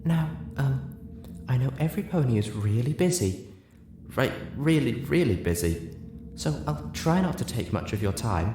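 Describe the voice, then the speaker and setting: A man voicing a shy, gentle female pegasus pony in a story, soft and breathy, hesitant and earnest. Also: mid-range voice, animated, moderate pace, echoey room, faint music behind, pitched above the performer's own voice, lightly acted. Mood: timid, hesitant. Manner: hesitant, timid.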